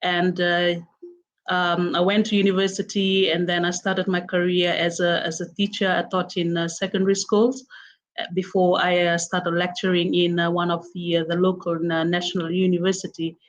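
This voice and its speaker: A middle-aged woman with a Fijian accent talks haltingly, filling her pauses with "uh" and "um." Her pitch stays flat and level, and the call audio sounds dull and lo-fi. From Fiji. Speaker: interview guest. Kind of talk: casual interview. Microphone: video call.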